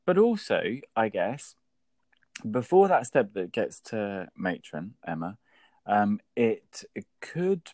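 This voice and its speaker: A man co-hosts a casual workplace podcast in an enthusiastic, playful way. His pitch sits fairly low but swings widely, and the sound is close and dry. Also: big pitch swings, takes it slowly, darkish tone, no echo. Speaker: podcast co-host.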